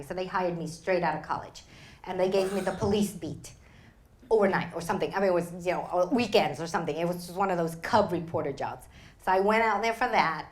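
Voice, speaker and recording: A woman from Vietnam answers questions at a live event. She tells her stories with energy, laughs often and slips into voices for the people she quotes. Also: on the low side. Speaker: author guest. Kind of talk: live Q&A storytelling.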